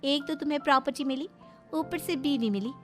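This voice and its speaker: A woman's voice: sits high, fairly quick, faint music behind, lightly acted. A woman voicing a sassy, defiant young bride in a cartoon moral story, pert and scolding in manner. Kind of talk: animated moral story dialogue.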